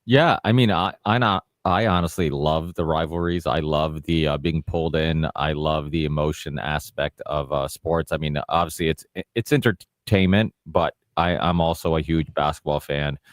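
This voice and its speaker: A man from northern Portugal hosts a podcast over a video call. His voice is very deep and the sound is dry and close. He chats in an easygoing way with plenty of filler words. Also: fairly even intonation, normal speed, few pauses, no room sound. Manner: easygoing, chatty, many fillers. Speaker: podcast host. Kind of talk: casual interview chat.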